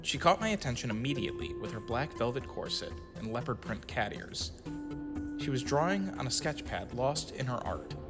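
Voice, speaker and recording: A man's voice: mid-range voice, sing-song, normal speed, loud music behind the voice, close to an everyday voice. A man acting in an audio drama as a reflective storyteller and his shy, self-conscious younger self. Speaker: narrator and lead actor telling his own story. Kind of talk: audio drama narration and acted dialogue.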